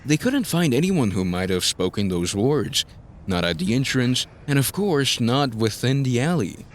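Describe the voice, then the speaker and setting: A man's voice: medium pitch, big pitch swings, normal speed, slight background noise. A man narrating a scripted fantasy story as a dramatic audiobook-style narrator.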